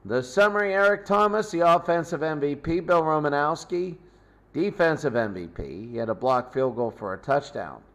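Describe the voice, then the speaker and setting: A man hosting an unscripted sports recap, talking in halting short phrases as he thinks aloud. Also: on the high side, fairly bright tone. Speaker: host recapping football tournament results.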